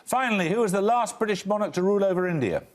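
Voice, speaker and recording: A man hosting a television quiz show, reading questions and introductions in a crisp, dry, authoritative way with wry asides. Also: high voice, animated, quick pace. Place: television studio.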